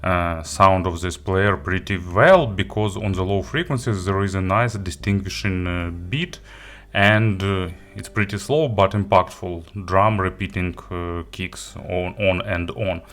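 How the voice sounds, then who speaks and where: A man who is not a native English speaker gives an unscripted product review, haltingly, often searching for words and rephrasing. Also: low voice, a bit bright. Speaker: audio gear reviewer and host. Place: indoor studio.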